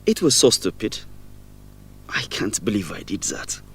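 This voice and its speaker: A man's voice: fairly low pitch, big pitch swings, a little slow, a bit bright, slight background noise. A man acting an earnest male student in an educational radio drama. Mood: ashamed, regretful.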